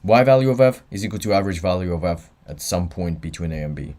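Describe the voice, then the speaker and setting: A man's voice: low voice, steady tone, fast, a little mellow. A tired young man running a livestreamed exam review, talking offhand and answering chat between explanations. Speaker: student tutor.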